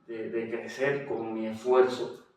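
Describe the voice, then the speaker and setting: A man with a Cuban Spanish accent, heard from a little way off the mic in a very echoey room. He talks at a mid pitch, hesitating often and restarting his sentences as he shares his story. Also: level tone, takes it slowly, distant mic. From Cuba. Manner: hesitant, with frequent fillers and restarts. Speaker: interview guest, general doctor. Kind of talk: testimony interview.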